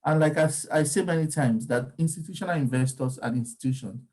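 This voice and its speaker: A man's voice: fairly high pitch, fast, darkish tone. Man explaining markets. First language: Yoruba. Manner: discursive, frequent ums and restarts. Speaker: crypto market commentator. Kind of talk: casual explanatory talk.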